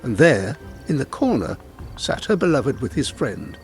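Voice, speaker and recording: A male storyteller with a medium-pitched voice reads a folk tale. He varies his intonation a lot as he narrates, and music plays underneath. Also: very animated, measured pace. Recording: music bed behind the voice.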